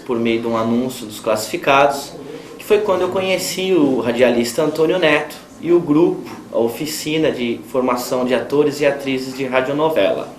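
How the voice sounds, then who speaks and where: A man with a Brazilian accent giving a formal personal testimonial in measured, sometimes hesitant phrases. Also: medium pitch. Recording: roomy echo, faint ambient noise.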